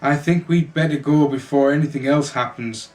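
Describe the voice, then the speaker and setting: A man's voice: on the high side, moderate pace, a little mellow, lightly acted. A man voicing a kindly, decisive married woman in a children's storybook, concerned and ready to take charge. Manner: exclaiming, concerned, takes charge.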